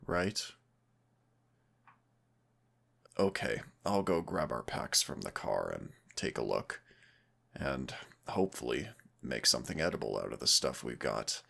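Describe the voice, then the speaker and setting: Male actor as caring young-love boyfriend for intimate audio roleplay: very low, gravelly voice, tender and reassuring. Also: pushed and bright, exaggerated voice. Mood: caring, practical. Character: affectionate, cautious, playful boyfriend sheltering from a snowstorm. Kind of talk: intimate audio roleplay, one side of a conversation.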